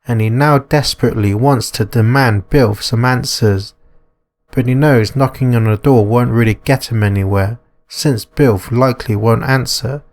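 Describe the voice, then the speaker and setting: A man reading scripted narration for a visual novel as its narrator. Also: mid-range voice. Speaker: narrator and author. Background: music.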